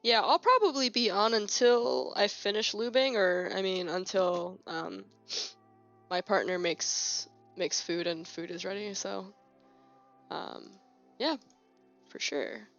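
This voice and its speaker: Young woman rambling on a home stream, bit sniffly, gravelly fry, dull phone mic w faint music behind. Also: medium pitch, slow pace. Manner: rambling, relaxed, many fillers and restarts. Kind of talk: casual live-stream chat while working on keyboard switches. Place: home desk. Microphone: phone on a holder. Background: faint music and keyboard clicking.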